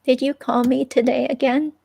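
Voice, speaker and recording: A woman's voice: high-pitched, flat delivery, gravelly, mellow, breathy edge, exaggerated voice. A woman reading the part of a hesitant, chatty ex-girlfriend questioned by police in a dramatic transcript reading. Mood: hesitant, casual. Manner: hesitant, halting, casual.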